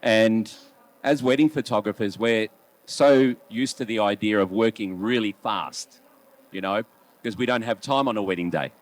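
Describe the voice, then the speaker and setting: Aussie guy late 40s, lowish voice, chatty photo judge talk. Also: moderate pace, low-quality recording. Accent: Australian. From Melbourne, Australia. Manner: chatty, rhetorical questions to colleagues. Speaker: wedding photographer and competition judge. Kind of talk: casual spoken photo critique. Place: booth at a trade show.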